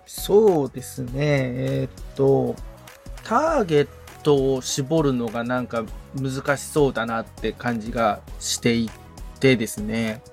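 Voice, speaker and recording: A man's voice: medium pitch, measured pace, creaky, faint music behind. A man talking as the assistant and learner, tentatively thinking aloud as he asks questions. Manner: tentative, thinking aloud, frequent soft agreement. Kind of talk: casual, hesitant questioning and listening.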